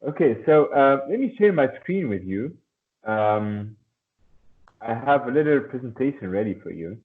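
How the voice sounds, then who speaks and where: A man's voice: mid-range voice, sing-song, moderate pace. A man teaching a one-on-one language lesson over a video call, encouraging and often repeating his words. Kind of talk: online lesson conversation. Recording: tinny phone-like video call audio.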